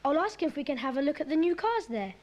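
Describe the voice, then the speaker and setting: A woman playing an eager, adventurous schoolboy in a children's audio drama, earnest and excitable, on a noisy cassette recording. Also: high voice, quick pace.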